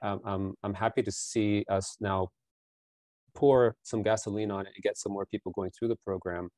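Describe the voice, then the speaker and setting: A man's voice: lowish voice, steady tone, moderate pace, a little mellow, no echo. A man in his forties, a business founder answering interview questions earnestly from a small bedroom over a video call, often repeating words as he thinks. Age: 40s. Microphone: headset over ears, video call.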